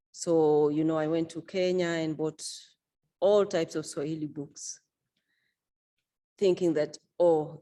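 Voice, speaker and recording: A woman author and event organizer speaking at a book launch, in a measured, unhurried way with frequent fillers. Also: sits low, mellow.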